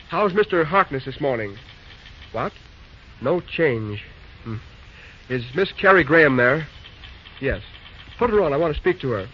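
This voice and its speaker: An actor playing a steady male railroad foreman who takes charge, with a slightly stilted reading, in an old radio drama. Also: a little high. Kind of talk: 1940s radio drama acting. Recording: dull lo-fi old radio recording with faint noise.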